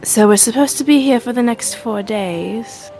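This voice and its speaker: A woman's voice: fairly high pitch, normal speed, mellow, soft background music, lightly acted. A woman voicing a prickly, awkward adventurer in a tabletop game, stiff and dry. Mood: sulky.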